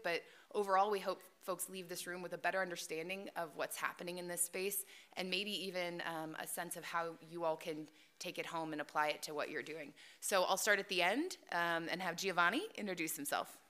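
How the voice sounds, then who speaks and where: A woman in her forties moderates a conference panel from a table mic, upbeat and conversational. Her voice is a little low but bright and forceful, carrying across the hall. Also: steady tone, a little fast. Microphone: table microphone.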